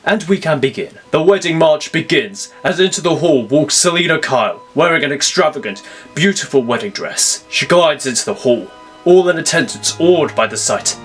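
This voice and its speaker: A man's voice: a little high, lively intonation, moderate pace, fairly bright tone, faint music behind. A man reading scripted superhero-style story narration in a grave, theatrical manner. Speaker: narrator of fan audio stories.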